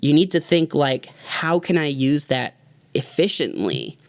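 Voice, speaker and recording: A man's voice: a little high, sing-song, measured pace, creaky, no room sound, clean studio sound. A man in his thirties, a music producer, giving an earnest, heartfelt talk to his viewers in a loose, rambling way. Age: 30s. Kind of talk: reflective motivational vlog talk.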